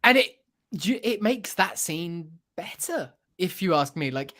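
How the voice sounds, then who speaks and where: A man's voice: high voice, animated, unhurried, bright, forceful tone. A man giving his opinions in a casual, conversational film discussion as a podcast co-host.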